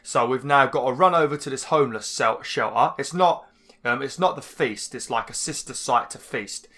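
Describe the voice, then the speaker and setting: Slightly hoarse, chatty gaming man. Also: mid-range voice, moderate pace, sharp tone. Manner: chatty, rambling, with fillers. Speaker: gaming channel host. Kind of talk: casual let's-play commentary.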